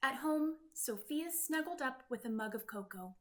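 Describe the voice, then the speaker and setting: A woman reading a children's story aloud in an expressive, deliberate, dramatic way. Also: high voice. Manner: deliberate, dramatic delivery with acted-out sound words. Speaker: storybook reader.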